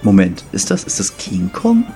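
Man as young adventurer hero, mock-dramatic, animated, medium pitch, amateur audio comedy, music behind. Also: normal speed, full character voice. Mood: startled. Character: dramatic young male adventurer, secretly a superhero.

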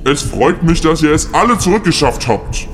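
A man acts out a measured male magician who grants heroes their wishes in an amateur audio play. The voice is fairly high and conciliatory, with music clearly behind. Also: animated, a bit bright. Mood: composed.

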